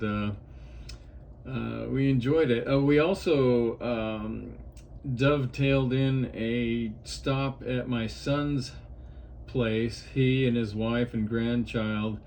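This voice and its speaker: Slow-talking older man on ham radio. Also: medium pitch, a little hiss or hum. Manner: relaxed, unhurried, friendly. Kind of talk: casual radio chat.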